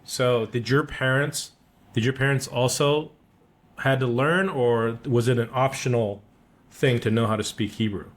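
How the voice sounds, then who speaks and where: A middle-aged man hosting a podcast, relaxed and quick to chime in. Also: medium pitch. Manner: relaxed, interjects often. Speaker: podcast host. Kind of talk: casual interview chat.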